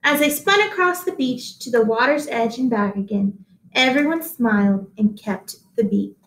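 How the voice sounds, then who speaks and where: Higher-voiced woman reading aloud, echoey room. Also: a little hiss or hum. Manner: deliberate, expressive storytelling with questions to listeners. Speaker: read-aloud host, teacher. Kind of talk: picture book read-aloud with asides to children at home. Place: small indoor office-like room.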